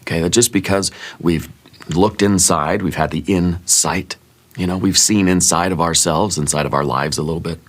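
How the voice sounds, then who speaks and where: A man giving a soft-spoken ASMR tarot reading in a musing, gently reassuring way, a little congested with a sore throat. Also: low voice, fairly even intonation, moderate pace. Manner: musing, gently reassuring, thinks aloud with fillers. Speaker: tarot reader.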